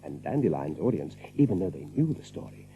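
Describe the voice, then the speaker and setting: Male narrator for dramatic tale. Expressive, animated delivery; tinny, humming recording. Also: extremely low pitch, brisk. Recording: tinny narrow-band audio with hum.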